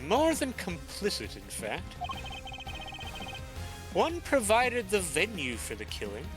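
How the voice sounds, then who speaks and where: A man's voice: sits high, huge pitch swings, exaggerated voice. A man voicing a formal, theatrical male prosecutor. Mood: dramatic. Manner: measured, dramatic reveals. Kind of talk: fan dub of a game. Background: game music.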